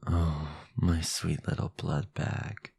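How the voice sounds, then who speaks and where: A sinister vampire lord for an audio roleplay. His low voice is very husky and breathy, crackling with gravel. He speaks with formal, possessive authority and silky menace. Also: steady tone, darkish tone, dry recording, clean studio sound, exaggerated voice. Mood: hungry, seductive.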